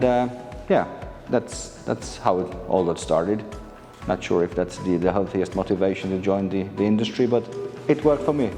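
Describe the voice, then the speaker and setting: A man in his thirties from Finland, a maritime lecturer answering interview questions in a relaxed way, with frequent fillers and restarts. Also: low voice, big-room sound. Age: 30s. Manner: hesitant, many fillers and restarts.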